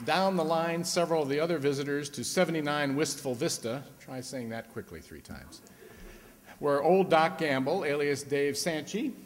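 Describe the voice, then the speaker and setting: A man's voice: a little high, moderate pace. A man announcing on stage as the host of a live radio play. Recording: echoey hall, audience and background noise.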